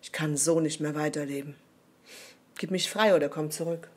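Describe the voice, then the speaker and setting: A woman's voice: sits low, steady tone, moderate pace, breathy edge. A woman playing a wife who pleads with and reproaches her absent husband in a radio drama. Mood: pleading. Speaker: voice actor.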